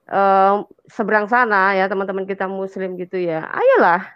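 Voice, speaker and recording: A woman's voice: medium pitch, expressive, moderate pace, clean tone, dry recording, muffled recording. An Indonesian woman in an impassioned, conversational religious discussion, emphatic and colloquial, repeating phrases and checking for agreement. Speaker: talk show speaker on religion.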